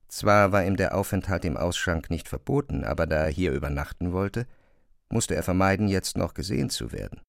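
A man narrating a literary radio drama in a measured, serious manner. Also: low-pitched, vocal fry, no echo, studio quality.

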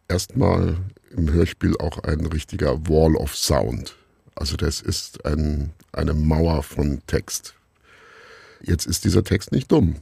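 Middle aged guy in an interview, really creaky low voice, dark n husky, thinks out loud, halting. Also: no room sound, clean studio sound. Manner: musing, halting, searching for words. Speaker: interview guest, radio play maker. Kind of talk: reflective interview talk.

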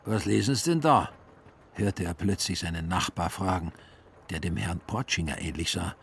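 A man narrating a literary radio play in a husky voice. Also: sits low, normal speed, a bit bright, slight background noise.

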